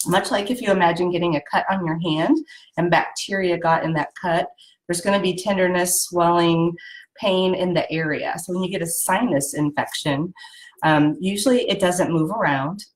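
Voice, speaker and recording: A woman's voice: lowish voice, steady tone, normal speed, low-quality recording. A woman with a head cold and a nasal voice, casually hosting a live video and explaining health tips with lots of ums. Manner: chatty, explanatory, frequent ums and "right?".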